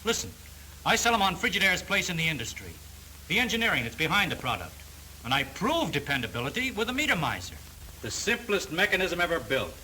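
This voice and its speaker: A confident, folksy salesman from a 1930s training film. His voice is fairly high for a man and swings in pitch as he spins slangy advice. The soundtrack has a faint vintage hiss. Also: sing-song, a little fast, bright, forceful tone, exaggerated voice, poor audio. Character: folksy, self-confident older refrigerator salesman, kidded by colleagues.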